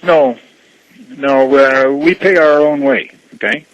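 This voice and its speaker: A man on a phone call, answering casually as a church representative, in a measured, guarded, explanatory way. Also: mid-range voice, steady tone, dry recording.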